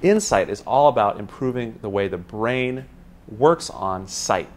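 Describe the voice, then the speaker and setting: A male neuroscientist explaining in an interview, pausing with fillers. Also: lowish voice, animated. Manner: explanatory, with hesitations. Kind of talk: expert interview.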